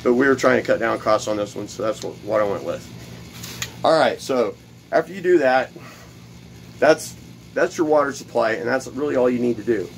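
A man talks through a build at his workbench, recorded close by a camera set on the bench. His voice sits in a plain middle pitch. He rambles casually, repeating words and filling pauses, and a faint workshop noise stays under his voice. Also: rough recording. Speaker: DIY video host. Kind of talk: casual workshop how-to demonstration. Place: cluttered home workshop at a workbench.

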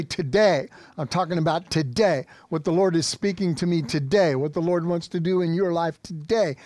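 A man's voice: on the high side, darkish tone, no echo. An American man in his fifties preaching a sermon as a pastor, exhorting with emphatic repetition. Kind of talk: sermon to an online congregation. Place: small indoor church studio set.

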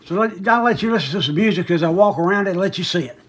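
Guy with a kinda high voice showing off something he's selling, folksy and a bit hesitant, rambles along. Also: animated, rapid-fire, slightly rough. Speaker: online seller demonstrating vintage audio gear. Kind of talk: casual product demonstration.

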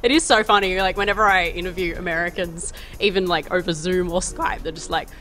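A young woman with an Australian accent and a soft voice, hosting a casual, chatty interview on a handheld mic. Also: on the low side, steady tone, fairly quick, soft background music. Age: young adult. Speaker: interviewer, host. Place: small outdoor courtyard. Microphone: handheld microphone.

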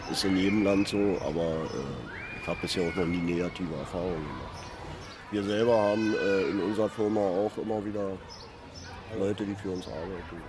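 Middle-aged man, very low voice, calm street answer, clear background noise. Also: a little fast. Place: street outdoors.

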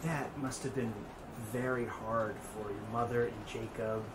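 An actress playing an earnest, apologetic, hesitant documentary director interviewing someone in an audio drama. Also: female voice, extremely low pitch, steady tone, unhurried. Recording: noisy interview room tape.

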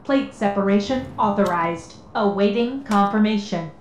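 A woman voicing an impassive automated computer announcement in a video game, flat and formal. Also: mid-range voice, normal speed, natural voice. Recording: echoing announcement over faint noise.

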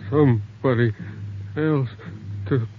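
Man acting a very slow, hoarse dying gunshot man. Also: medium pitch, natural voice. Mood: weak, bitter. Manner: halting, broken phrases. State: hoarse, slow labored breathing. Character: dying gunshot criminal, weak and abandoned. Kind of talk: 1950s radio drama acting. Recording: phone-like old radio recording, faint noise.

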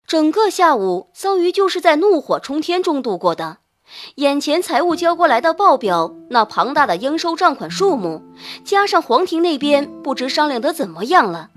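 A woman narrating a novel in a scripted, dramatic audiobook style. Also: high voice.

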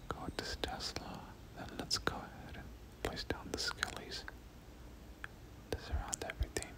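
A person whispering ASMR game commentary in a breathy voice, often repeating words and short phrases over and over. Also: moderate pace. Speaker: gaming video host.

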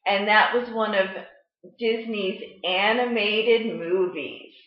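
American lady doing kids library storytime, high sing-song voice that goes up and down, kinda echoey webcam sound. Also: highs cut off. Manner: slow, deliberate, animated explaining to children. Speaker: children's library storytime presenter. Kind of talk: sensory storytime lesson for children. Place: indoor room at home, seated at a table.

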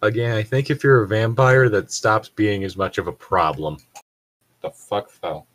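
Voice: mid-range voice, steady tone, moderate pace, fairly bright tone. A person reading fan fiction aloud and joking about it in a sweary, mock-exasperated way. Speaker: reader and commentator.

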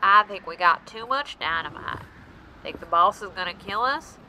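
A woman's voice: medium pitch, expressive, moderate pace, pushed and bright, slight background noise, poor audio. A woman playing a nervous male outlaw henchman who pleads frantically in a western audio drama. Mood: grumbling. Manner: stammering, frantic.

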